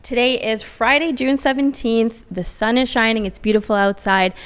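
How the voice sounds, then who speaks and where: A woman's voice: on the high side, clean tone. A woman hosting a podcast, opening the show and introducing guests in a bubbly, welcoming way. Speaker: podcast host. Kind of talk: upbeat podcast introduction and interviewing.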